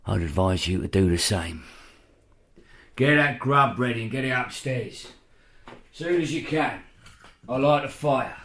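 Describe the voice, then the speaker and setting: An actor playing a weary, coarse, sardonic castle jailer with wry dark humour in an audio horror play. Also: male voice, medium pitch, expressive, measured pace, pauses often, noisy background. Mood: guarded.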